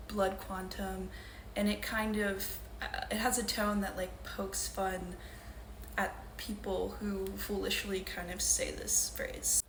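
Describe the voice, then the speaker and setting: Young woman, from Louisiana, poet, measured and earnest, echoey room, faint background hum. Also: mid-range voice. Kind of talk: poetry reading with spoken introductions. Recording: roomy echo with faint ambience.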